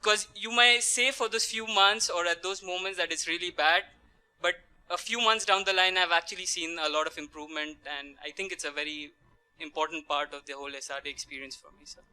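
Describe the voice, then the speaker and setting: A man's voice: sits high, fairly even intonation, brisk, pushed and bright, clean tone. A young man speaking casually as a student panelist at a Q&A session. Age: young adult. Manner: chuckles while talking. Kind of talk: casual spoken testimonial at a Q&A.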